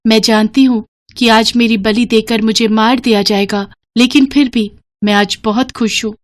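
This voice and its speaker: A woman voicing a vain, boastful female goat in an expressive animated storybook style. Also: fairly high pitch, normal speed, close to an everyday voice. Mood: defiant. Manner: proud, expressive cartoon delivery.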